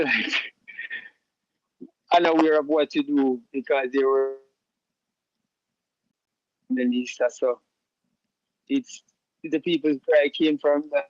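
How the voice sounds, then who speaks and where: A Jamaican man answering interview questions in an easygoing way, with lots of fillers and restarts and patois shout-outs. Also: on the high side, dry recording, dull audio. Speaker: musician guest.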